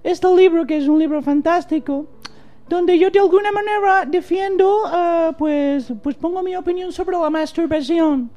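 A woman's voice: very high-pitched, moderate pace, dark tone, dull audio. A woman playing a boastful, flirty American nun who speaks Spanish with an American accent and keeps slipping into English. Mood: giddy. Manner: giggly, mixes English into Spanish, rambling. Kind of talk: improvised comic radio sketch.

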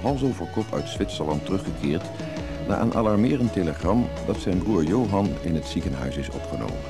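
A man's voice: deep voice, very animated, a little fast. A man reading scripted narration as the announcer of a radio drama. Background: music.

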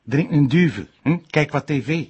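Calm, husky Flemish friend. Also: male voice, on the high side, moderate pace, muffled recording. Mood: reassuring. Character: calm, reassuring male friend, easygoing and patient. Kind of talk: television crime drama acting.

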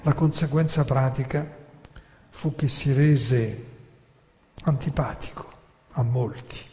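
A man giving a theatrical lecture, narrating deliberately and emphatically with dramatic pauses. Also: mid-range voice, warm tone. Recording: long hall reverb.

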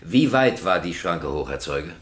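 A man acting a formal, polite presiding judge who runs a trial in measured phrases, in a radio drama. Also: low voice, sing-song, fairly bright tone, exaggerated voice. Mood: courteous. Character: courteous, orderly male presiding judge running a trial.

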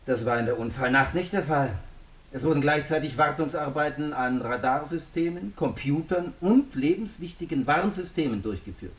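An actor playing a stern, insistent courtroom examiner in a German radio drama, formal and probing. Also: male voice, fairly high pitch, normal speed, echoey room, lightly acted. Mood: stern, accusing.

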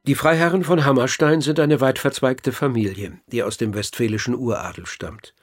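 An actor playing a courteous, inquisitive writer who narrates a radio play and talks with the dead in a measured, polite way. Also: male voice, mid-range voice, soft background music, natural voice. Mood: measured narration.

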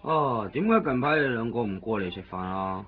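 A man's voice: mid-range voice, animated, moderate pace, slightly rough. An actor playing a casual, teasing and inquisitive male friend in a Cantonese radio drama. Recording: muffled 1990s radio broadcast.

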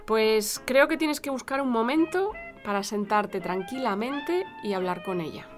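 Woman acting sweet concerned spanish partner in a podcast drama, slightly high voice, guitar music underneath. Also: animated, unhurried. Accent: Spain. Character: gentle, concerned woman, affectionate partner and confidante. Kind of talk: audio fiction podcast acting.

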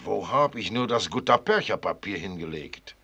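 A man's voice: on the low side, lively intonation, moderate pace, gravelly, sharp tone, husky, highs cut off, heavily acted. An actor in a German radio play voicing a blunt, fussy older father who grumbles in a clipped manner. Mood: fussing, scolding.

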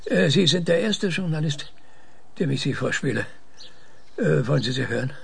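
A man acting in a radio play as a calm, controlling scientist with a husky, gravelly voice and a measured, deliberate way of talking. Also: on the high side, heavily acted. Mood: eager, hesitant. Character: calm, controlling male scientist interrogating an artificial mind. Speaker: radio drama actor.

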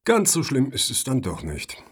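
Blunt male panelist for radio satire, played by an actor: husky, very animated intonation, needling. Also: medium pitch, moderate pace. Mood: grumbling.

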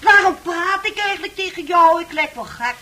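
A woman's voice: very high voice, animated, normal speed, sharp tone, heavily acted. A woman playing an eccentric widowed countess in a radio drama, theatrical and disdainful. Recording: faintly noisy old radio recording.

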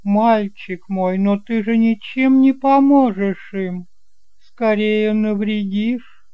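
A mature, affectionate aunt in an audio drama , played by a man. The voice is pitched far up and moves slowly. It pleads with motherly worry. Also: mellow, clean tone. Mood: worried pleading.

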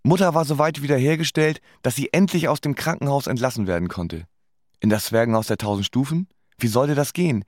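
A man playing a sardonic musician, narrating his own story in a scripted, deadpan radio drama style. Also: mid-range voice, brisk, natural voice. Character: sardonic male musician recalling his life. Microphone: close studio microphone.

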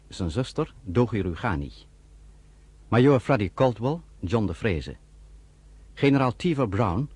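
A man's voice: lowish voice, slightly rough, no room sound. A man announcing the end of a radio drama and reading out the cast list in a formal manner. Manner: formal and even. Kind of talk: reading credits.

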